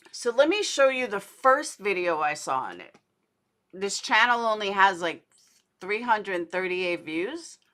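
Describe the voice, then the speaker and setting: A woman's voice: mid-range voice, sharp tone. A woman giving casual livestream commentary in a blunt, indignant, sweary manner, as host of a true crime show.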